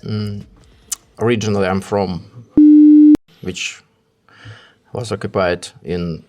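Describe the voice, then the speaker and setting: A man with a Ukrainian accent and a fairly low voice speaks very slowly as an interview guest. He is hesitant, often pausing to search for the next word. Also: fairly even intonation. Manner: halting, hesitant, searching for English words. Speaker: interview guest, rescue service deputy head and instructor. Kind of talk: unscripted interview answers.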